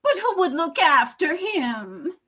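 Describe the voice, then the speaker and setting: A woman playing an eccentric, soft-hearted, fussy lady in a staged comedy reading, sounding plaintive. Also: very high-pitched, very animated, slow, heavily acted. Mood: fretful, hurt.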